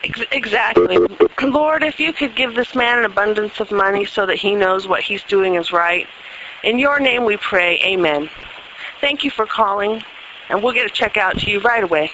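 A woman with a bright, sharp voice at middle pitch speaks on a muffled phone recording. She handles a prayer call briskly and matter-of-factly. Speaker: person answering a prayer line. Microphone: telephone. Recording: dull phone recording, faint noise.

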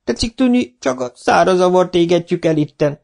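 A man voicing a sly old village man who answers with feigned innocence and mocking calm. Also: high-pitched, vocal fry, pitched above the performer's own voice. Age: older.

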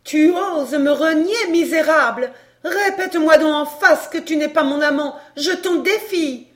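A woman voicing a fiery, scorned mistress in a dramatised audiobook, loud, biting and indignant. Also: high voice, a little slow, voice pushed up. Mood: enraged.

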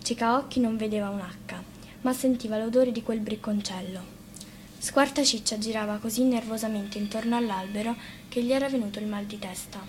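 A woman's voice: on the high side, brisk, a little mellow. A woman narrating a scripted fairy tale as a storyteller. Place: radio studio. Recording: faint background noise.

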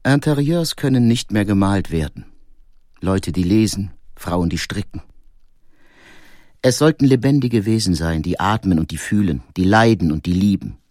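An actor playing a brooding, sensitive painter who reads from his diary in an earnest, introspective and deliberate way. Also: low voice, lively intonation, darkish tone, no room sound, studio quality. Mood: impassioned. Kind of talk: dramatic first-person diary reading.

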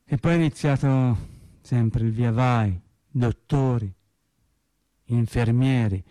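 An actor playing a naive working-class man in a radio drama monologue, telling his sad story in a colloquial, halting, wry way. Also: mid-range voice, dark tone, no room sound, lightly acted. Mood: resigned, sorrowful. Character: naive working-class man recounting his cancer and death, resigned, tender.

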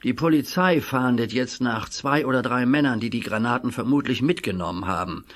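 A man's voice: mid-range voice, a little fast, a bit bright, lightly acted. A man playing a composed, authoritative middle-aged school headmaster in an audio drama. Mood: businesslike. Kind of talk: German audio drama acting.

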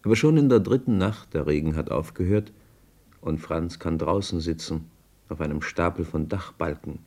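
A man narrating a scripted radio play as a measured storyteller. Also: sits low, darkish tone.

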